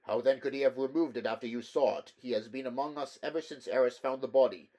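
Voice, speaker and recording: A shrewd, skeptical inquisitor, as a man reads him, laying out his reasoning in brisk, firm sentences. The voice stays close to the reader's own. It fits an audiobook mystery-adventure. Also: medium pitch, steady tone, mellow, lightly acted.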